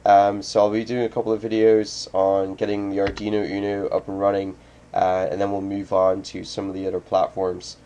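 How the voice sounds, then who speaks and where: A man explains electronics from his home desk in a low, mellow voice. He talks casually and haltingly, full of ums and uhs, and is still recovering from a cold. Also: monotone, dull audio, a little hiss or hum. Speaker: host of a hobby electronics channel. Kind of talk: casual hands-on tutorial.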